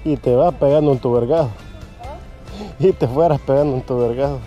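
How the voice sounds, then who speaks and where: A man's voice: medium pitch, brisk, dark tone, highs cut off, faint music behind. A man with a Salvadoran Spanish accent chatting casually and jokingly as a vlogger out on a hike. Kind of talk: casual chat while hiking. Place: outdoors in a park.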